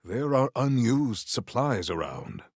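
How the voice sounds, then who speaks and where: An actor playing a proud, battle-eager armored warrior-poet in a video game, with formal, theatrical diction. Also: male voice, mid-range voice, big pitch swings, slow pace, creaky, no room sound, studio quality, heavily acted. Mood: dry, formal. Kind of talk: scripted game character lines.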